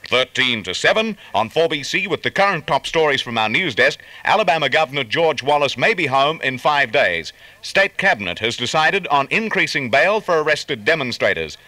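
A man's voice: on the high side, big pitch swings, normal speed, bright, forceful tone, no echo. An Australian man presenting a 1970s commercial radio breakfast show as its host.

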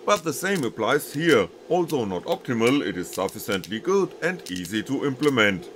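A man's voice: mid-range voice, very animated, moderate pace, a bit bright, a little hiss or hum. A man reading scripted technical narration as a maker presenting his own project.

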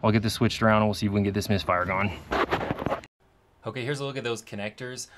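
A man's voice: on the low side, quick pace, heavy background noise. A young man, a mobile mechanic, talking casually to the camera, venting about his work and explaining repairs step by step.

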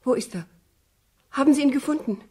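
An actress playing a polite, anxious young woman in a German radio drama, with a husky, breathy voice. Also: sits high, a little fast, mellow, lightly acted.

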